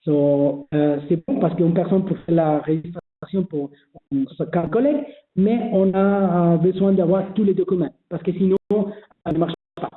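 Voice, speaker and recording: A man's voice: high voice, a little slow. Man, non-native French, hesitant webinar presenter, roomy echo. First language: not French. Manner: hesitant, many fillers and restarts. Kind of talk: explaining online registration. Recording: echoey phone-like webinar audio.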